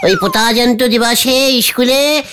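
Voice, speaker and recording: Boastful cartoon show-off, played by a woman: theatrical, mocking, highish voice, drawn-out animated delivery. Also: noisy background, natural voice. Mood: scornful, mocking. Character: boastful, bossy, comic village rhymester, cartoon character. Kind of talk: comic cartoon dialogue.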